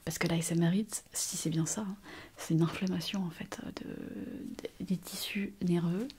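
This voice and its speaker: A woman playing a gentle, hesitant doctor in a whispered ASMR medical roleplay, reassuring and pausing often. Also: fairly low pitch, steady tone, breathy edge. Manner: soft, hesitant, reassuring, with many pauses. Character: gentle, hesitant female hospital doctor examining a patient.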